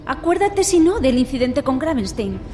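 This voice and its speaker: A woman's voice: high-pitched, big pitch swings, normal speed, warm tone. A woman playing a worried, self-deprecating botanist confiding in a colleague in an audio drama. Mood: concerned, confiding.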